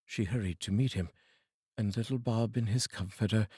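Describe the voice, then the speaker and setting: A man with a British accent reading a classic novel aloud as narrator, dramatic and expressive. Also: on the low side, normal speed, slightly rough, no room sound, clean studio sound.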